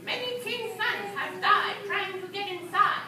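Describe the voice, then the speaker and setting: A woman's voice: very high voice, measured pace. A woman voicing a grave old man who gives solemn warnings in a children's puppet show. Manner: solemn, cautioning. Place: library event room, live show. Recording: distant, echoey, very noisy room.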